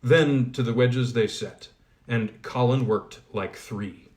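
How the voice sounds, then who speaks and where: A man reading an old fairy tale aloud in a measured, expressive storybook manner. His voice sits at an ordinary middle pitch for a man, steady and clear. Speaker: audiobook reader. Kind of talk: storybook narration.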